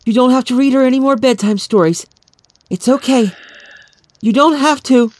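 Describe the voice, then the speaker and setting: A man's voice: extremely high pitch, moderate pace, a little mellow, no room sound, voice pushed up. A man voicing a weary, frightened mother in a horror story, pleading and tender.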